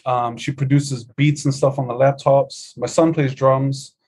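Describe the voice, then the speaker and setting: A man's voice: mid-range voice, flat delivery, a little slow, darkish tone, slightly rough. A middle-aged man, a musician guest telling his story in a laid-back, easygoing way over a video call. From New Zealand. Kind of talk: casual interview chat.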